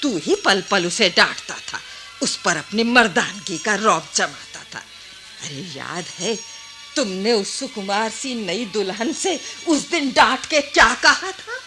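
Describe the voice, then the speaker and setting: A woman's voice: mid-range voice, expressive, slow, sharp tone, faint music behind. A woman playing a compassionate, chiding old village grandmother in a Hindi radio drama. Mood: reproachful. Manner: emphatic, repeating, chiding.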